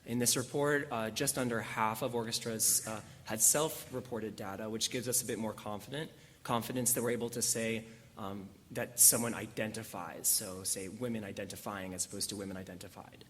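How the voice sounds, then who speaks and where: A man on a panel explaining how data was collected, in a measured way. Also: lowish voice, steady tone, a bit bright, a little hiss or hum. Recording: long hall reverb.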